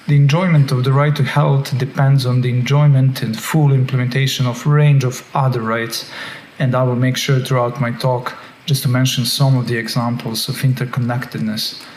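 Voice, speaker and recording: A man from Serbia, a doctoral researcher giving a prepared academic lecture by video call in a measured, formal way. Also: medium pitch, little pitch movement, a little mellow. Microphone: joining by video call. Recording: echoey call audio with faint noise.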